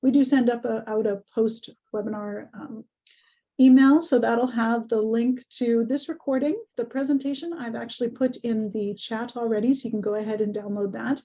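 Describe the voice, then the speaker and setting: A woman hosting an online webinar over a video call, a little hoarse, friendly and full of ums. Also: fairly high pitch, steady tone, moderate pace, warm tone. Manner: friendly, many ums and uhs. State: slightly hoarse. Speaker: webinar host. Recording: muffled video call audio.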